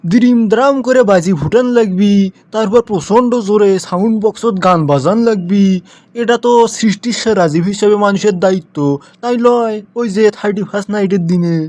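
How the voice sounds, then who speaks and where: A man's voice: sits high, a little fast, a little mellow, no echo, natural voice. A man with a Bogura accent from northern Bangladesh playing a sarcastic, moralizing schoolteacher in a comic cartoon, full of mocking rhetorical questions. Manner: mock agreement, rhetorical questions, preachy. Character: sarcastic, moralizing male schoolteacher scolding his pupil. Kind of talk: comic cartoon dialogue turning into sermon.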